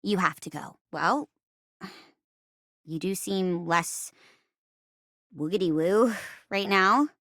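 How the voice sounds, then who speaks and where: A woman playing a young female video game character, an earnest stage-actress chair come to life, talking in an animated, casual way. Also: fairly low pitch, dry recording, studio quality. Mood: firm, disappointed. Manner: animated, earnest, casual, halting when uncomfortable.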